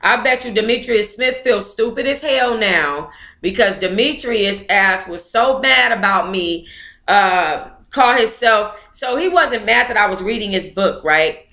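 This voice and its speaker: A woman's voice: medium pitch, lively intonation, a little slow, pushed and bright. A woman hosting an online book club, reading aloud and commenting in a blunt, informal, opinionated way.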